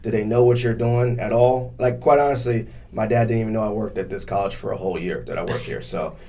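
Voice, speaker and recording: A man's voice: lowish voice, fast talker, heavy background noise. A man hosting a podcast in a casual, conversational interview style, speaking colloquially and encouragingly. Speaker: podcast host.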